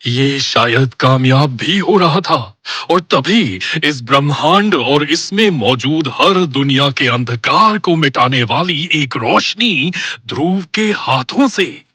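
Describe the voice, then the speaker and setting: Slow, intense male horror narrator. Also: fairly high pitch, animated, bright, forceful tone, breathy edge, no echo, studio quality. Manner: suspenseful, dramatic. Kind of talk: dramatic scripted horror story narration.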